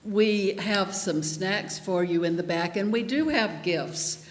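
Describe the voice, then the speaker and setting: A woman giving an informal, chatty and halting speech to an audience as an event organizer, talking into a stage microphone. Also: mid-range voice. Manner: chatty, halting, addressing the room. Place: large echoey hall with an audience. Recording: echoey hall, audience noise, faint hiss.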